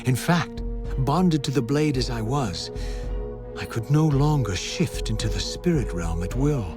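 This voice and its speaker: An actor voicing a vengeful, brooding undead wraith in a video game, narrating in ornate, deliberate, theatrical phrases. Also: male voice, mid-range voice, expressive, music in the background, lightly acted. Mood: sardonic relish. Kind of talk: dramatic scripted game narration.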